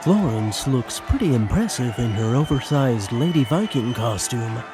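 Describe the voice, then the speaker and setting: A man's voice: mid-range voice, lively intonation, unhurried, over music. A man narrating the action of a scripted audio drama.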